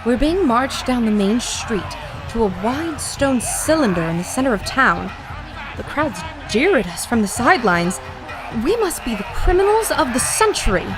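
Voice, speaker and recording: Earnest female adventure reporter, actress, higher register, narrating a dramatized field recording for an audio drama. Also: very animated, moderate pace, music in the background, rough recording. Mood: tense, observant.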